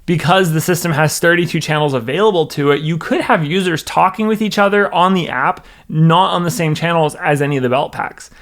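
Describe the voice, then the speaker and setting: A man's voice: a little high, fairly quick, hardly any pauses, fairly bright tone. A man with an American accent presenting a scripted product review in an instructional, methodical way. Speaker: presenter, church production director.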